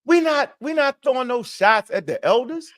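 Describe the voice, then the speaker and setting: A man co-hosting a live online talk, speaking in an animated way full of streetwise slang and quick rhetorical asides. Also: very high voice. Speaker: co-host. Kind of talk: casual live-stream chat.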